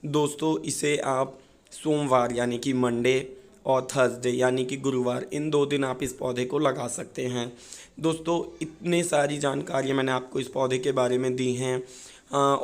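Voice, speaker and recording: A hoarse man presents gardening advice to viewers. His pitch stays flat and mid-range, and he explains informally, pausing and repeating himself as he goes. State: hoarse from a sore throat.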